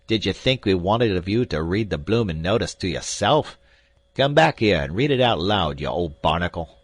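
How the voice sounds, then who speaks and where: A man voicing a rough, bullying sailor who shouts and jeers, in a storybook reading. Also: deep voice, expressive, moderate pace, no echo. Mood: jeering, shouting. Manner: shouting, jeering. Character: rough, bullying male sailor, loud and coarse.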